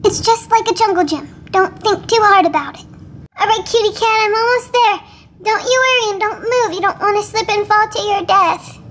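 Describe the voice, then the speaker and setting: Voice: very high-pitched, moderate pace, a bit bright, slight background noise. A performer with a childlike voice playing an anxious, motherly young cat owner in a cartoon story, scolding and self-reassuring. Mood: nervous.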